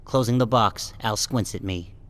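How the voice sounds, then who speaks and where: Man playing a low-voiced, wry, wary sheep narrator. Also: moderate pace, a bit bright, natural voice. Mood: wry, uneasy. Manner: wry, guarded, tense. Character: wary, resentful male sheep narrating his story. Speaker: first-person narrator and protagonist. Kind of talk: scripted first-person audiobook narration.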